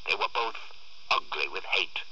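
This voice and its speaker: A man reading a literary short story aloud in a theatrical, expressive way. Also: low voice, normal speed, slightly rough, dull audio. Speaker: story reader.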